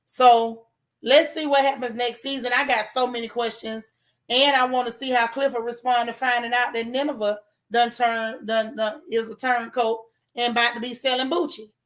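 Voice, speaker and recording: A hoarse woman who hosts a channel. She reviews a TV show in a casual livestream, talking straight to her chat with animated, opinionated takes. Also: a little high, normal speed.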